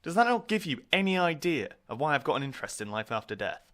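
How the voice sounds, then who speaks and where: A man's voice: on the high side, sing-song, fast talker, a bit bright. A young man playing an awkward, guarded university student in an audio drama, with a hesitant, dry delivery. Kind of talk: naturalistic audio drama dialogue.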